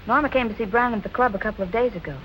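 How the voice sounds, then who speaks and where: Determined young woman detective, acted by a woman, highish voice, brisk, 1940s noir film, light background noise. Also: highs cut off, lightly acted. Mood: intent, probing. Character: determined, stubborn young amateur sleuth woman. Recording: noisy old film soundtrack.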